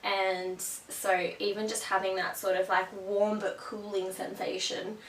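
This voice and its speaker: A woman's voice: medium pitch, level tone, unhurried, a bit bright. A young woman with a cold, a little sniffly, chatting casually to her viewers with lots of ums. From Australia. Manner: chatty, gushing, many ums. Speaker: vlogger. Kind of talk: casual product chat to camera. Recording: roomy, from a distance, faint hum.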